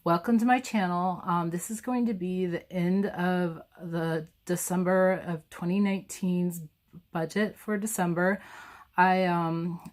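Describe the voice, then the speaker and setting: A young woman still hoarse from a cold talks casually at home, her voice raspy and sitting a little low. She rambles through numbers with frequent ums and restarts, recorded by a camera looking down at her table. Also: fairly even intonation, unhurried, darkish tone. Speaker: budgeting vlogger. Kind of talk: casual budget walkthrough. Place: at home, filming a planner on a table.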